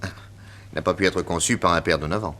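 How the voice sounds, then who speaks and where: An actor playing a confident, witty detective inspector with a French accent, emphatic and theatrical in 1960s radio drama style. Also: male voice, low-pitched, normal speed, pushed and bright. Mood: pondering. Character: confident, witty male detective inspector explaining his deductions.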